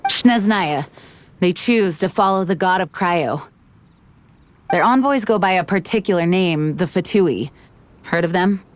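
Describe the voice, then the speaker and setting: A woman's voice: fairly low pitch, close to an everyday voice. A woman playing a composed, earnest knight commander. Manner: measured, formal, sighing. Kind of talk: game cutscene voice acting.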